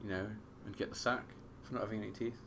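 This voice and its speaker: A man's voice: lowish voice, fairly even intonation, fast talker, a bit bright. A young man, co-hosting a casual podcast chat, swearing freely and calling his friend mate. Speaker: podcast co-host. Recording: faint background noise.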